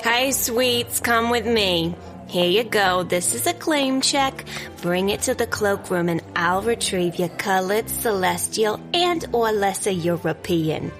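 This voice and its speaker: An actress playing a sweetly officious club cloakroom attendant in a comedic period audio drama, reciting things politely. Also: female voice, mid-range voice, expressive, slow pace, music in the background. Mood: blandly polite.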